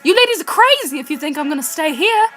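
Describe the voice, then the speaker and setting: Woman playing a high-pitched, brash radio-drama housewife. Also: sing-song, fast talker, bright, forceful tone, heavily acted. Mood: upset, defensive. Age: teens. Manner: theatrical, slangy. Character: brash, defensive gossiping housewife with a secret. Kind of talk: 1940s radio drama acting. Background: music.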